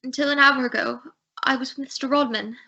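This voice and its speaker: A woman's voice: high-pitched, fairly even intonation, a little fast, creaky, pushed and bright, highs cut off, full character voice. A young woman voicing a distraught young woman in an amateur radio play, emotional and frantic. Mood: numb. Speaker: high school drama student.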